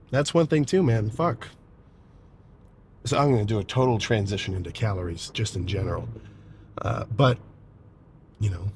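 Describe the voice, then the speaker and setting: A man's voice: mid-range voice, darkish tone, slight background noise. Stuffy-nosed young man rambling casually. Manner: laid-back, rambling, swears freely, frequent throat clearing. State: stuffy, congested with a cold. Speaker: bodybuilding vlogger. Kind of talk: casual vlog talk to camera.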